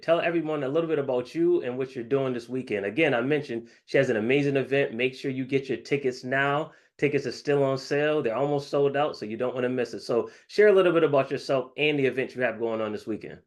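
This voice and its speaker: A man's voice: mid-range voice, fast, hardly any pauses. A man hosts an interview show with an upbeat, promotional manner. He talks up his guest and her event in a friendly way. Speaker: host.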